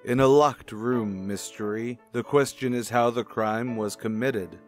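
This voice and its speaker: A man hosting in old-time radio style, introducing a mystery in a formal, portentous manner over soft music. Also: mid-range voice, unhurried. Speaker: host, announcer.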